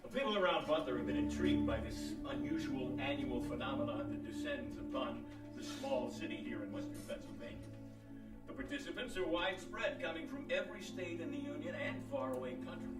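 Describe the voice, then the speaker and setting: Man as an animated, earnest radio reporter. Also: high-pitched, fairly quick. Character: earnest public radio reporter narrating a feature. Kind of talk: scripted radio feature narration. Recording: echoey stage, distant mic, loud music.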